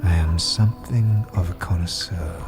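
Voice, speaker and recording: An actor playing an imperious, menacing and cunning sorcerer in a fantasy audio drama, with haughty theatrical delivery. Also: male voice, sits low, moderate pace, close to an everyday voice. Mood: intrigued, haughty. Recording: music behind the voice.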